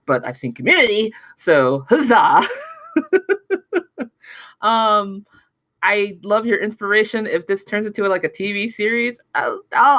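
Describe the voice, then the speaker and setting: Animated, giggly woman chatting. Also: medium pitch, no room sound, studio quality. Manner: giggly, playful. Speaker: script reader giving feedback. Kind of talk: casual chat.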